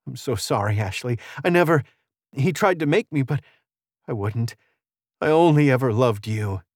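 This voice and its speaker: A man's voice: mid-range voice, lively intonation, moderate pace, a little mellow, no echo, clean studio sound, close to an everyday voice. A man voicing a frightened, devoted frontier woman with a quavering voice, in an audiobook western. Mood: tearful, loving. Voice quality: quavering, raised voice.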